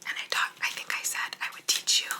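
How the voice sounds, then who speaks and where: A woman giving a language lesson in a soft, breathy ASMR whisper, gently repeating words. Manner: slow, gentle repetition of words. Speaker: host teaching Italian vocabulary.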